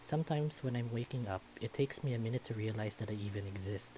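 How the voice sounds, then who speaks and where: A man's voice: lowish voice, quick pace, dark tone, slight background noise, rough recording. A man reading a visual novel aloud as first-person story narration.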